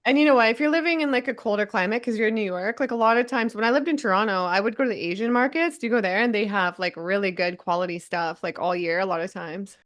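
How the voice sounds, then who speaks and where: A woman in her forties hosting a casual interview, enthusiastic and affirming, often ending remarks with a quick "right?". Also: medium pitch, a bit bright. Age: 40s. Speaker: host.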